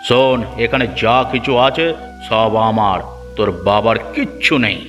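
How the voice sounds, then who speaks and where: A man's voice: lowish voice, normal speed, a bit bright, long reverb tail, dull audio, close to an everyday voice. A man acting a harsh, scolding old grandfather. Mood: angry, scornful. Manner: scornful, berating, mocking. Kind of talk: cartoon story voice acting. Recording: background music.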